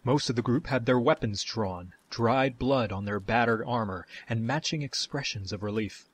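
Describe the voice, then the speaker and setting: A man's voice: mid-range voice, normal speed, slightly rough, natural voice. A man performing as a genial tale-teller, narrating a scripted fantasy story in a dramatic storytelling style. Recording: music behind the voice. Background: music.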